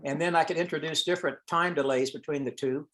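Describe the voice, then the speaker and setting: Guy with a slightly high voice walking thru technical slides on an online call, kinda thinking out loud. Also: steady tone, quick pace. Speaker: presenter at an amateur radio science teleconference. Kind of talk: informal technical presentation with slides.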